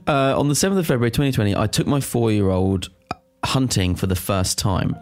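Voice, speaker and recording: A man's voice: lowish voice, expressive, fairly quick. A man co-hosting a casual podcast, reading listener confessions aloud and often laughing as he goes. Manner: giggly, breaks into laughter while reading aloud.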